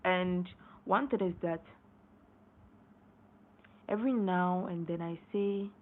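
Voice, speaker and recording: A young woman hosting a casual late-night live stream, hoarse and losing her voice, rambling and repeating herself as she clears her throat. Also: lowish voice, slow pace. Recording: tinny phone-line sound, faint noise.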